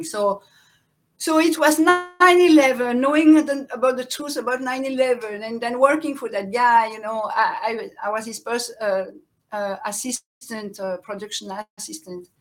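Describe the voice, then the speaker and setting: A middle-aged woman with a French accent, a guest telling her life story in a halting way, often restarting and searching for words. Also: mid-range voice, fairly bright tone. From born in Central Africa, raised in France. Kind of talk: personal life story in conversation.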